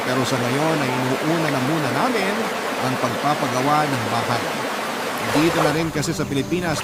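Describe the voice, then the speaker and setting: Guy narrating a sentimental radio love story, highish voice, loud music underneath. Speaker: radio storyteller reading a listener's letter. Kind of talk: sentimental scripted first-person narration. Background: loud background music.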